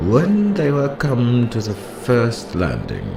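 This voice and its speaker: A man reading an old fantasy tale as a dramatic audiobook, slowly and solemnly, in archaic literary prose. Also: medium pitch, huge pitch swings, creaky. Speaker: audiobook reader. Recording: roomy echo, loud moody soundscape bed. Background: loud music and atmospheric soundscape.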